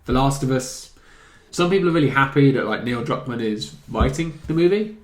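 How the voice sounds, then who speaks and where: An echoey recording of a man in a casual podcast discussion. His pitch is average for a man, and his phrasing is informal and a little hesitant as he puts his opinions together. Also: animated, moderate pace. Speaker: podcast co-host. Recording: echoey room.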